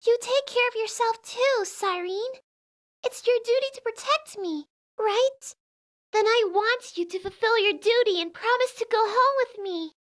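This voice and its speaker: A woman's voice: extremely high pitch, a little slow. A woman playing an earnest, affectionate, sheltered young princess with a girlish manner in a video game fandub. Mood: pleading, devoted.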